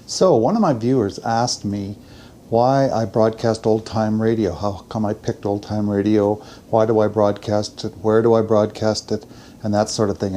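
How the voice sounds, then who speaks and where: A man's voice: fairly low pitch, warm tone, slight background noise. An older man chatting casually in a daily vlog, with a folksy, rambling, self-deprecating way of talking. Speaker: vlogger.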